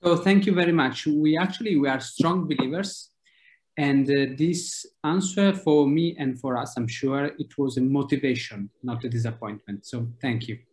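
A man's voice: medium pitch, normal speed. A man co-hosting the podcast, thanking the guest and handing over to a colleague. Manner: warmly thankful, hands over the floor. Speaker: podcast co-host.

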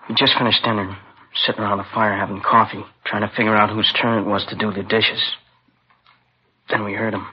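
Flat-toned, shaken young man played by an actor. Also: on the low side, close to an everyday voice. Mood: shaken, recounting. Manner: halting, subdued, haunted. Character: shaken, exhausted young male student, crime witness. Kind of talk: 1950s radio drama acting. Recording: dull old radio recording, faint noise.

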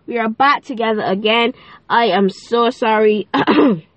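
A woman's voice: fairly high pitch, a little slow, no echo, low-quality recording. A teenage girl with a lilt chats away on her podcast, bubbly and playful, full of fillers and giggly asides. Her voice sounds stuffy and nasal from a cold. She is recorded close up in a dry, dead space. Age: teens. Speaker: podcast host. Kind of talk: casual faith podcast chat.

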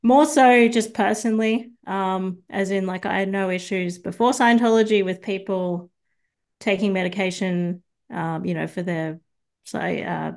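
A woman's voice: mid-range voice, fairly even intonation, measured pace, gravelly. Hesitant young Australian woman. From Sydney, Australia. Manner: hesitant, with many ums and soft trailing phrases. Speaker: podcast guest. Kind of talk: casual interview chat.

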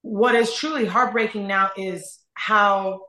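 A young adult woman from Iran speaking as a guest, earnest and halting, often repeating her phrases. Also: mid-range voice, fairly even intonation, bright, forceful tone, roomy sound, poor audio. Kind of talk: emotional personal testimony in conversation.

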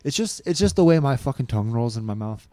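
A young man chatting on a podcast after a few scotches, rambling from thought to thought and swearing as he goes. His voice has a mellow, dark tone. The sound is dry and close. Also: medium pitch, expressive, quick pace, dry recording. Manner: rambling, swears freely, does silly voices. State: tipsy from scotch. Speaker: podcast co-host. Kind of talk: casual chat over drinks. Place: indoor room.

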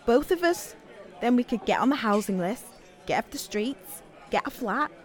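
A woman's voice: fairly high pitch, animated, normal speed, some chatter behind, close to an everyday voice. An actress playing a hopeful, blunt, determined young homeless woman with a British accent in an audio play. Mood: coaxing, hopeful. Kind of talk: audio play dialogue and narration.

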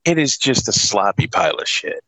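A man in a casual podcast chat with a bright, forceful voice that swings widely in pitch. He is profane and exasperated, hammering his points emphatically like someone mid-rant. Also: expressive, moderate pace, no echo, poor audio. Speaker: podcast co-host. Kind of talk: casual chat, ranting movie review.

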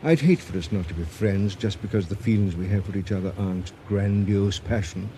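An actor playing a kindly, gently awkward male teacher in a radio drama, earnest and hesitant. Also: lowish voice, a little fast, close to an everyday voice. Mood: gentle, reflective. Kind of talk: 1980s radio drama acting. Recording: noisy vintage radio drama recording.

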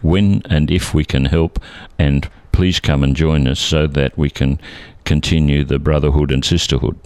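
An Australian man in his seventies with a very low, deep voice, recorded close and dry. He reminisces conversationally, phrasing things carefully and sometimes correcting himself. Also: steady tone, normal speed, a little mellow, clear, resonant tone, no room sound. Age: 70s. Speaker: interview guest, retired air traffic controller.

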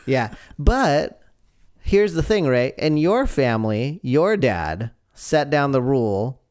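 A man's voice: mid-range voice, big pitch swings, takes it slowly, a little mellow, smooth, no room sound, clean studio sound. A man chatting casually as a podcast host, reflective and giving advice. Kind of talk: casual conversation. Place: home.

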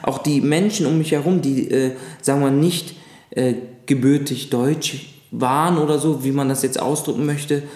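A man in his thirties from Lebanon, whose first language is Arabic, recalling his childhood as an interview guest with frequent pauses and fillers. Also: medium pitch, steady tone, darkish tone. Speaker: guest, actor. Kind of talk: casual interview chat. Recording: echoey room.

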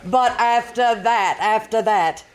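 A woman playing a haughty, sharp-tongued society bride with an imperious manner, in the style of old-time radio comedy. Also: a little high, slow. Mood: indignant, cutting. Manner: imperious, biting.

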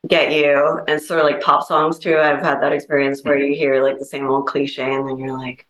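A woman's voice: sits low, little pitch movement, moderate pace, a bit bright. A woman sharing reflections casually in a group discussion, hesitant and searching for her words. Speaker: participant in a Zen group discussion. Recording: roomy, echoing group-room pickup.

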